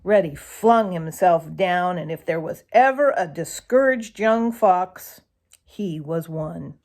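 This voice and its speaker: A woman reading a children's animal story aloud as narrator, in an expressive storybook style. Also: fairly low pitch, slow.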